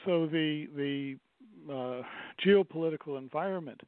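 A middle-aged man, a theater owner speaking as a radio interview guest, pausing often with fillers and restarting his sentences. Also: a little high, clear, resonant tone, no echo. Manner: halting, many fillers and restarts. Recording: dry, tinny phone-like line.

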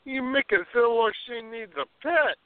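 An actor playing a playful, teasing schoolteacher with a droll, theatrical manner in a radio play. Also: male voice, extremely high pitch, expressive, normal speed, no echo. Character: playful bearded schoolteacher, a woman's pretend boyfriend. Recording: narrow phone-like sound.

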